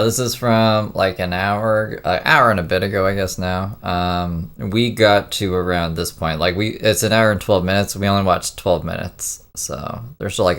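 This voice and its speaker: A man's voice: low voice, a bit bright. A man hosting a livestream, casually commenting on someone else's stream and mockingly retelling what was said. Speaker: livestream host reacting to another person's livestream. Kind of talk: casual chat, commentary on a played clip.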